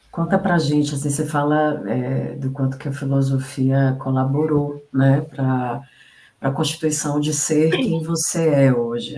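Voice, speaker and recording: Interviewer; mellow, gentle, feels their way through each question. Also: medium pitch, rough recording. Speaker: podcast interviewer.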